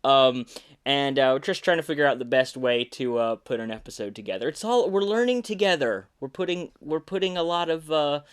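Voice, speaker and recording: A man's voice: on the high side, lively intonation, brisk, clean tone. A man hosting his podcast in an easygoing, rambling way, full of filler words and restarts, and a little congested from sinus trouble. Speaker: podcast host and puppeteer. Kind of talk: casual podcast chat.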